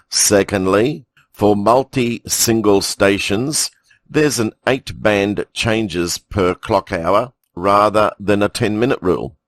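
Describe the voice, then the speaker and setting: A man reads a news item at a slow, unhurried pace, adding a few asides of his own. His voice sits fairly low. The recording is dry and close. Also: no echo. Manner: measured, reading with brief asides. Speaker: news presenter. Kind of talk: read news bulletin.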